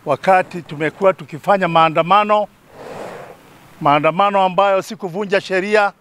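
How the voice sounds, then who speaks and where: A man's voice: sits high, a bit bright, dry recording. A man in his sixties with a Kenyan accent giving an oratorical press statement outdoors, repeating phrases. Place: outdoors among a group of people. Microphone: cluster of handheld press microphones.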